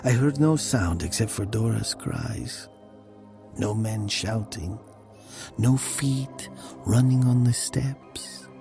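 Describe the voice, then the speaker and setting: An actor playing a brooding murderer who narrates his own dark fable in a measured, dramatic manner. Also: lowish voice, creaky, faint music behind. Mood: somber, haunted. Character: brooding male village murderer recounting his dark tale.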